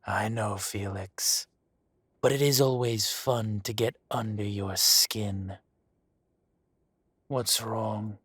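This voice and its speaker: A smug, husky dragon crime boss. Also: male voice, lowish voice, steady tone, a bit bright, dry recording, exaggerated voice. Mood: teasing. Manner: slow, smug, teasing, drops to whispers. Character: smug dragon mafia boss, teasing and menacing. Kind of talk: audio roleplay acting.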